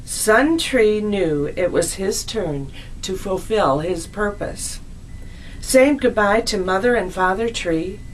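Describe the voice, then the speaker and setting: A woman narrating a scripted children's story as a storyteller, in a deliberate, expressive read-aloud manner. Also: mid-range voice, slight background noise, poor audio.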